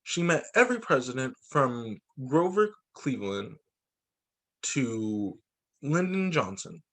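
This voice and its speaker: A man reading a picture book aloud to children as a teacher, in an expressive, dramatizing way. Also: mid-range voice, takes it slowly. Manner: expressive, dramatizing quoted lines.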